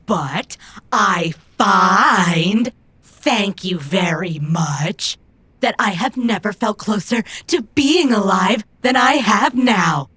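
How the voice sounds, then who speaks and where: A woman's voice: mid-range voice, lively intonation, slow, a bit bright. A woman playing a proud, stubborn female robot who speaks in formal, precise, stilted phrases in an audio drama. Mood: fierce, defiant.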